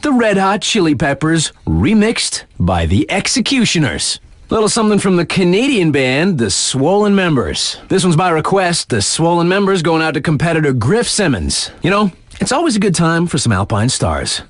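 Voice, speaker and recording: Young male radio DJ, video game, slightly high voice, swooping intonation, slangy, laid-back, cocky, wry. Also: sing-song, normal speed, dry recording, studio quality. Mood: breezy, wry.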